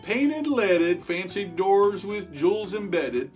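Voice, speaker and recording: A storyteller reading a rhyming picture book aloud to children in an expressive way, stretching words for emphasis and chatting with young listeners. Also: medium pitch, takes it slowly, soft background music. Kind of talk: animated children's read-aloud of rhyming verse.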